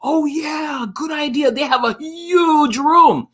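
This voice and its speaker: A man's voice: very high-pitched, takes it slowly, a bit bright, pitched above the performer's own voice, full character voice. A man voicing an eager, imaginative schoolboy in a storybook. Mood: eager, excited.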